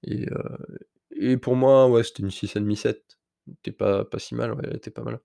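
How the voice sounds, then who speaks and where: A man's voice: medium pitch, fairly even intonation, normal speed, creaky, dark tone, no room sound, clean studio sound. A man with a slight cold, hosting his own podcast and telling a personal story in a candid, rambling way straight to the listener. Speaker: podcast host. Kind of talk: casual solo podcast monologue.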